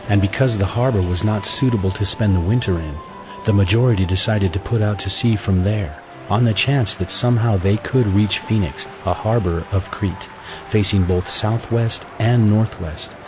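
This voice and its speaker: A man narrating a scripture audio drama in a measured, solemn storytelling manner, reading from a script. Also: fairly low pitch. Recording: music bed under the voice.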